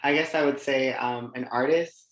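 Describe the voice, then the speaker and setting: A man's voice: medium pitch, steady tone, normal speed, a bit bright. A nineteen-year-old man from the United States, talking informally and conversationally as a podcast guest. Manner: informal, conversational, heavy use of "like". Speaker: podcast guest, dancer and artist. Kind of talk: casual interview chat. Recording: echoey, roomy sound.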